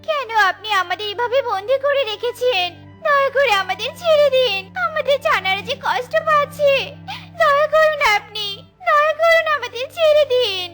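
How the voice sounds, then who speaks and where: A woman's voice: extremely high pitch, lively intonation, normal speed. A woman voicing a captive parent bird pleading desperately in a children's cartoon. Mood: desperate, tearful. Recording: music behind the voice.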